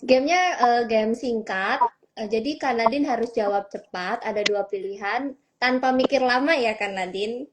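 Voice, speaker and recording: A young woman co-hosts a casual student podcast, upbeat as she runs a quick game. Her voice sits somewhat high. A faint hiss and background noise sit under the recording. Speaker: podcast co-host.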